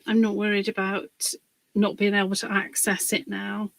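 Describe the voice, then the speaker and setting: A woman, slightly hoarse from a cold, chatting casually on a livestream while she works on a craft project, pausing with fillers. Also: medium pitch, completely flat delivery, fairly bright tone, no echo. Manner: chatty, rambling, pauses with fillers while working.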